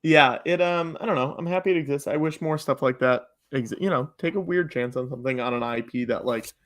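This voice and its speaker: A man speaks in an average-pitched voice in a casual podcast chat. He hedges his opinions and tends to repeat his phrases as he talks. The delivery is informal and conversational. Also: fairly quick. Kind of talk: casual chat about TV and film.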